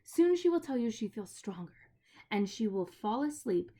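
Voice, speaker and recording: A woman's voice: fairly high pitch, sing-song, moderate pace. A woman doing a proud menacing witch. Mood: tempting. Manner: commanding, then hushed and coaxing. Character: proud menacing witch queen, cold and tempting.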